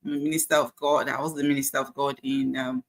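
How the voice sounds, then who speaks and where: Nigerian lady in her 40s, bit of fry in her voice, chatty and encouraging, lots of "you know". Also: rumbling low, level tone, quick pace. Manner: warm, faith-filled, encouraging, with many fillers. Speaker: nurse sharing her story. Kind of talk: casual personal testimony.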